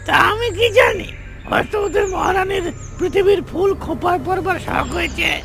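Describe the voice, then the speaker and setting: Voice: extremely high pitch, normal speed, vocal fry, over music. An actor voicing a whiny, reluctant little creature henchman in a fairy-tale cartoon, complaining in a squawky way. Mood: grudging, whiny.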